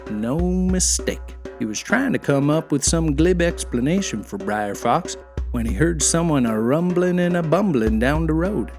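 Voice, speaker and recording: A man telling a folktale as an expressive, folksy scripted narration. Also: fairly high pitch, creaky. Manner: folksy, animated. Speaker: storyteller.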